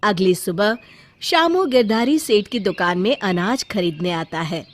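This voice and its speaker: A woman's voice: medium pitch, lively intonation, unhurried. A woman narrating a scripted children's story as the storyteller.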